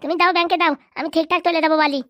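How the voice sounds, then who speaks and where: A man plays a pert young errand-runner in a comedy cartoon. The voice is very high and rapid, with a dry sound. It argues back cheekily and keeps insisting. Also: fairly even intonation, fairly bright tone, dry recording. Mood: cheeky, insistent. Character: pert, argumentative youngster running errands for an uncle. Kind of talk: comedy cartoon dialect acting.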